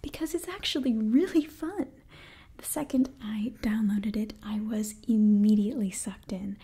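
A woman in her twenties giving an enthusiastic ASMR sponsor read in a soft, breathy whisper, close to the microphone. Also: mid-range voice, moderate pace. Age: 20s. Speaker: ASMR creator and host.

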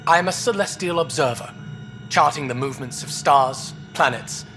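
Man playing a courteous, bright-voiced alien astronomer. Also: on the high side, faint music behind, close to an everyday voice. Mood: earnest, concerned. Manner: formal, measured, reverent phrasing. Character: courteous, earnest male alien astronomer, awed and scholarly. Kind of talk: video game dialogue acting.

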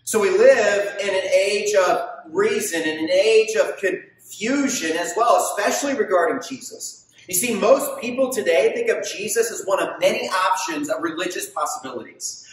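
Male pastor preaching, emphatic, questions to the room, high voice, very echoey hall, mic far off. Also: moderate pace, few pauses. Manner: emphatic, asks the congregation questions; gestures widely while preaching. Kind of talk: sermon. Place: stage of a large indoor hall.

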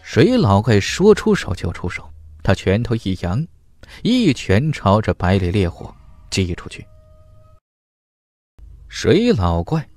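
A man narrates a fantasy audiobook in a lowish voice with lively, dramatic swings. The recording is close and dry, and the delivery is scripted storytelling. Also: dry recording.

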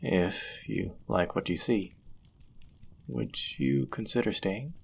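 Low, husky, courteous medieval innkeeper played by a man. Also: sing-song, full character voice. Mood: tender. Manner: formal, measured, old-fashioned diction. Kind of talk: roleplay audio drama acting.

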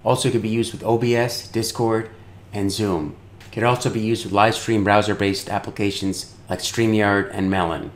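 A middle-aged man narrating a software tutorial as its host. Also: lowish voice, fairly even intonation, moderate pace. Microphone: close desktop microphone. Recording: clear background noise.

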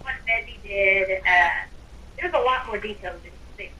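A woman's voice: mid-range voice, normal speed, bright, forceful tone, muffled recording. A woman chatting casually in a roleplay game over a noisy voice chat. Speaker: player in a Minecraft roleplay group.

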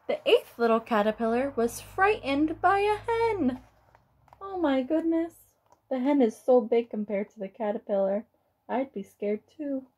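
High-voiced young woman reading to children. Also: lively intonation, measured pace, a little mellow, slight background noise, low-quality recording. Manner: exclaiming, child-directed commentary. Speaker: teacher reading aloud to children. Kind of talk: picture book read-aloud with casual asides.

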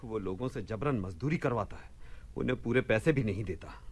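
An actor playing a hospitable, outspoken son with a courteous, animated manner in a radio play. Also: male voice, fairly low pitch, a little fast, husky. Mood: indignant. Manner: courteous, animated, indignant about injustice. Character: hospitable, outspoken son of a widow, Abul Hasan. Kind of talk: Hindi radio play acting.

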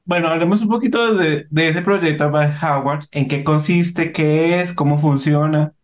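A man hosts a casual podcast interview, upbeat and friendly in his questions. His voice sits a bit higher than most men's. The room around him is roomy and echoey. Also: a little slow. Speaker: podcast host. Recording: roomy echo.

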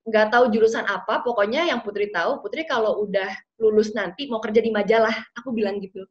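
A woman's voice: mid-range voice, fast talker. A woman, chatting casually and animatedly as an interview guest, switching fluently between two languages. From Indonesia, raised partly abroad in London and Ethiopia. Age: 20s. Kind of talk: casual chat mixing Indonesian and English. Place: small plain room indoors. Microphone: video call. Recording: dull lo-fi video call sound.

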